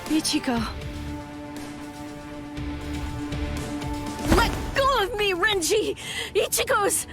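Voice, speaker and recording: A woman's voice: very high voice, sing-song, unhurried, music in the background, heavily acted. An actress playing a proud young noblewoman warrior in an anime game, formal, imperious and dramatic, with stern self-sacrifice. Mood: anguished. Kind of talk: English anime game dub acting.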